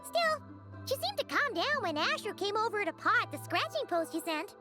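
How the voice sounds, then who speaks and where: A woman voicing a tiny, chirpy, childlike fairy companion in a video game, excitable and nosy, with a squeaky voice. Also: moderate pace. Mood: chatty. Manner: refers to self in third person, exclamatory. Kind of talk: animated video game voice acting. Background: music.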